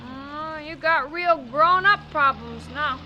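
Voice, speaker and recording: An eager little boy of eight, very high and piercingly bright. He chats innocently and literally, in vintage radio drama style. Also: takes it slowly, muffled recording, heavily acted. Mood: innocent, wistful. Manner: innocent, chatty. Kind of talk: 1970s radio drama acting. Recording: engine noise behind the voice. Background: car engine noise.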